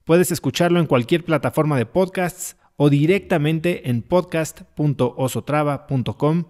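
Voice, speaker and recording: A man in his thirties hosting an interview podcast, reading sponsor spots and asking warm, open questions into a close studio mic. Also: a little high, normal speed. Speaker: podcast host. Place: small room with plain wall. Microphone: close studio mic with headphones.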